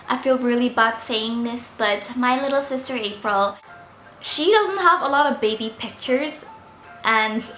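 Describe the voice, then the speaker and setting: A woman in her twenties with a squeaky voice made a little husky by a sore throat, chatting playfully while answering viewer questions at home. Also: bright, forceful tone, echoey room. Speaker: vlogger. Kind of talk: casual Q&A vlog. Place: indoors at home, seated by window light.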